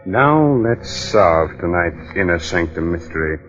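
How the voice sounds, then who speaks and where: Low-voiced old-time radio announcer, tinny sound. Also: male voice, lively intonation, a little slow. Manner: chatty, mock-reassuring, folksy salesmanship. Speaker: announcer and host. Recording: narrow tinny old radio recording with music.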